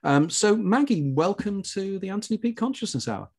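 A man's voice: high voice, expressive, moderate pace, breathy edge. A man hosting a conversational interview show, talking in a chatty, enthusiastic way.

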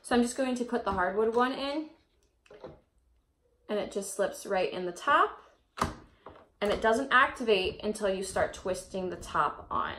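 A woman's voice: medium pitch, lively intonation, a little slow, pauses often, a bit bright, slight background noise. A woman in her twenties with an American accent, narrating a casual home vlog in a chatty, upbeat manner. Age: 20s. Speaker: home vlogger.

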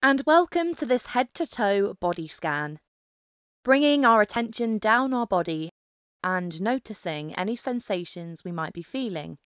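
A woman narrating a guided mindfulness meditation, giving calm, gentle instructions with pauses. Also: mid-range voice, smooth, no room sound, studio quality.

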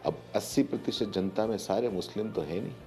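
Man, forties, news commentator, emphatic, rhetorical, mellow tone, music behind. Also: on the low side, animated, a little fast. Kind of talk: opinionated news commentary to camera. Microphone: lapel mic.

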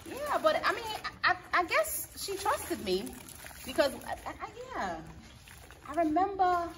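A woman's voice: very high voice, unhurried. Chatty woman vlogging outdoors. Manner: chatty, laughs often, lively when reminiscing. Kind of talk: casual vlog chat. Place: outdoor courtyard. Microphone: camera mic. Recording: outdoor camera audio with noise. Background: outdoor ambience and animals.

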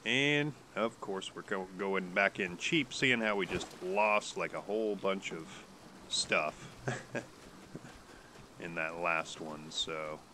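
A man's voice: low voice, slow, bright, forceful tone, a little hiss or hum. A man with a stuffy nose from a cold, casually commenting while playing a game, rambling and hesitant with many restarts. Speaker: gaming YouTuber.

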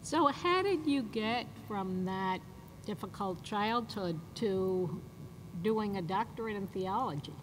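An older woman interviewing a colleague on a panel, asking measured questions. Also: mid-range voice, lively intonation. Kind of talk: panel conversation. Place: conference stage, large hall. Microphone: table mic on stand. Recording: reverberant hall, faint noise.

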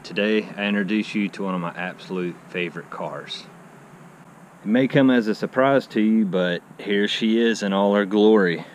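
A man's voice: on the low side, normal speed. A man, hosting a casual car vlog while walking around outdoors, chatty and enthusiastic, often saying "I mean". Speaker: car channel host. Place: outdoor driveway beside a garage. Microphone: handheld action camera. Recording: faint outdoor background noise. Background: faint outdoor ambience.

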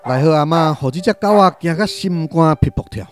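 A man's voice: fairly high pitch, a little fast. A man with a Taiwanese accent narrating a literary radio drama as a scripted storyteller. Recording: music behind the narration.

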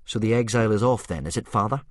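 A man voicing a reluctant, wry young Viking boy in a children's storybook, measured and dry. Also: lowish voice, dry recording, pitched above the performer's own voice, close to an everyday voice. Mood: wry, sceptical.

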